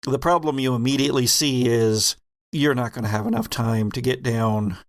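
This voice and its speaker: A man narrating a tabletop horror game as game master, telling it deliberately with pauses. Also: medium pitch.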